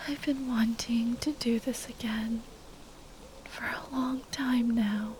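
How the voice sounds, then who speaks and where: Woman acting a slow, whispering, grieving spellcaster woman. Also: fairly high pitch, fairly even intonation, darkish tone, a little hiss or hum. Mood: tender, sad. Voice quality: breathy, whispered. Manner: halting, tender, sighing delivery. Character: grieving, tender woman spellcaster, devoted ex-girlfriend. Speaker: ASMR roleplay voice actor. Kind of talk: whispered ASMR roleplay, intimate drama.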